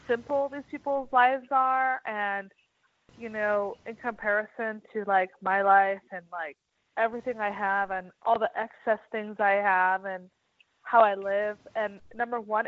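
A woman from the United States co-hosting a casual chat, talking lively with lots of filler words. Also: mid-range voice, dry recording. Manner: lively, lots of "like" and "you know". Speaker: podcast co-host. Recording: dull dry lo-fi sound.

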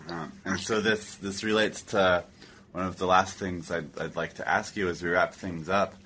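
A man interviewing a guest, asking polite, deliberate questions. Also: deep voice, steady tone, pushed and bright, a little hiss or hum.

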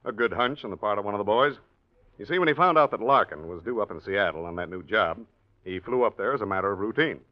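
An actor playing a businesslike, confident police lieutenant in a 1940s radio drama, explaining things matter-of-factly. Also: male voice, on the low side, lively intonation, fast, lightly acted. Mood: businesslike, assured. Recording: muffled vintage radio recording.

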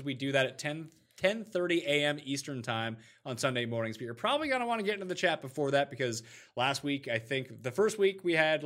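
Man, podcast host, casual sports chat, animated, bright tone. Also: mid-range voice. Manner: animated, plays with mock French voices.

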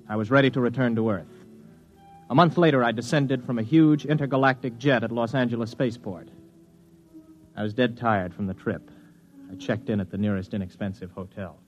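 A man's voice: mid-range voice, moderate pace, lightly acted. An actor playing a weary, stubborn spaceman who narrates his own story, in 1950s radio drama style. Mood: brooding. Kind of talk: 1950s radio acting with first-person narration. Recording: dull vintage radio recording, music.

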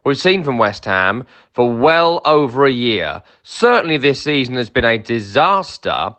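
A man's voice: medium pitch, very animated, measured pace, a bit bright. A man who broadcasts on football, delivering a scripted opinion piece as a fan.

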